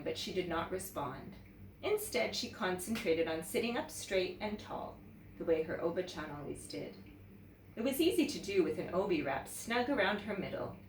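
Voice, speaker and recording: Lady teacher doing storytime read-aloud, really lively pitch, echoey and a bit far from the mic. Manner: expressive, pauses to ask listeners questions. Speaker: teacher reading a picture book aloud. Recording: roomy echo, distant mic, faint noise.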